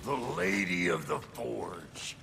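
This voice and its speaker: An actor playing a boisterous, cranky, proud dwarf blacksmith in a video game, with a gravelly voice and folksy, irreverent talk. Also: male voice, low-pitched, lively intonation, slow pace, over music, heavily acted. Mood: wistful, musing. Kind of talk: video game character acting.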